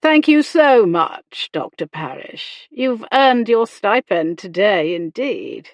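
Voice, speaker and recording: A woman voicing a sardonic, commanding gentleman with a sarcastic, deliberate manner in a period romance audiobook. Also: lowish voice, expressive, no echo. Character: sardonic, commanding gentleman, injured, coolly provoking.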